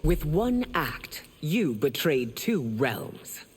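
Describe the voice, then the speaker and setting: A woman playing a regal, stern empress in a video game, speaking formally and with measured command. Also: low voice, big pitch swings, husky, heavily acted. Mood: condemning. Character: regal, stern warrior empress, compassionate but wrathful. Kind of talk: dramatic video game acting. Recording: long reverb, faint background noise.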